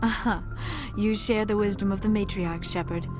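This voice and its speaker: A woman's voice: medium pitch, steady tone, normal speed, natural voice. An actress playing a shy, earnest young female alien archaeologist in a video game, with formal, precise diction and a socially awkward manner. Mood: reflective, modest. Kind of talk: video game dialogue acting. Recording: music behind the dialogue.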